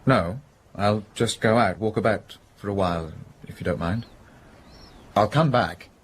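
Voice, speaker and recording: An actor playing a contrite, upper-class businessman in a period radio drama, speaking with polite, halting restraint. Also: lowish voice, husky. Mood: quiet, resigned.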